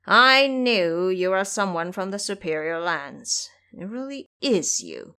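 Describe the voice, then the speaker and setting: A woman's voice: fairly low pitch, sing-song, slow, bright, forceful tone, clean tone, full character voice. Woman acting a menacing, gloating old monster king. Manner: commanding, gloating.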